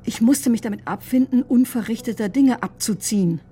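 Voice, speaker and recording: An actress playing a bitter, sharp-tongued woman doctor in a radio drama, with a sardonic, controlled, defensive manner. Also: on the high side, brisk, husky, faint music behind. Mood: tense recounting. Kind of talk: radio drama acting, confessional narration and dialogue.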